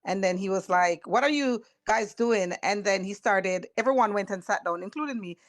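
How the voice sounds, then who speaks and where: A middle-aged woman with a Jamaican accent and a naturally hoarse voice, casually telling a school memory as a guest. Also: mid-range voice, moderate pace, dry recording, clean studio sound. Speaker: guest, former student. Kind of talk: casual storytelling on a video call.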